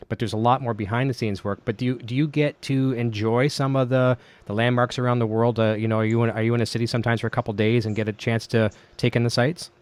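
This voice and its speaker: A man's voice: medium pitch, fairly even intonation, quick pace, hardly any pauses, a little mellow, clean tone, no echo. A middle-aged Canadian man hosting a casual podcast interview, chatty and easy-laughing, telling anecdotes. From Ontario, Canada. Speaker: podcast host.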